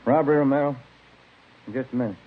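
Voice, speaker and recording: An American actor playing an easygoing police detective, the sergeant's partner, conversational and matter-of-fact in a 1950s radio drama. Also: male voice, medium pitch, fast talker, close to an everyday voice. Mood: casual. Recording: noisy, muffled old radio broadcast.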